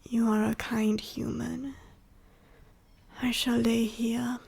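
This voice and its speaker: Breathy, dying female dragon, acted by a woman. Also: a little high, little pitch movement, darkish tone, full character voice. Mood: resigned, sorrowful. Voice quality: breathy, whispery, husky. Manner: slow, formal, archaic phrasing with heavy pauses. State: weak, short of breath. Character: dying female dragon, last of her bloodline, sorrowful, gentle. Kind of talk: ASMR roleplay.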